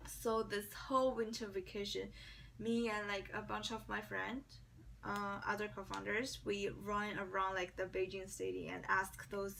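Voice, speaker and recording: A woman's voice: mid-range voice, level tone, measured pace, vocal fry, fairly bright tone. Hesitant young woman with a Chinese accent. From China. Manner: hesitant, many restarts and fillers. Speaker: interviewee. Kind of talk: mock job interview answers. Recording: distant mic, faint background noise.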